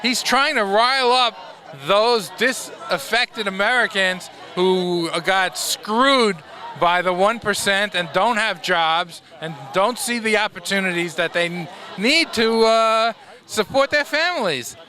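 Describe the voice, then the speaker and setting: A man's voice: high voice, a little slow, some chatter behind, a little hiss or hum. A man protester outdoors speaking bluntly and scornfully, at times raising his voice. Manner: blunt, scornful, rising to shouts.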